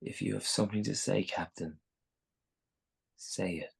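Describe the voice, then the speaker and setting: An actor playing a brusque, exasperated Navy admiral with a gravelly, husky voice, giving halting, clipped orders in a screenplay table read. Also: deep voice, steady tone, heavily acted. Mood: exasperated, terse.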